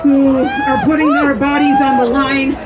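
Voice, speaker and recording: A high-voiced speaker addresses an outdoor rally in a halting way, stopping and restarting often. The sound is dull and lo-fi. A crowd and music are heard behind. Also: huge pitch swings, noisy background. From Fort Peck, Montana, United States. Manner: halting, with frequent restarts and fillers. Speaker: scientist and activist speaker. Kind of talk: personal speech at a rally. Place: outdoors at a street protest.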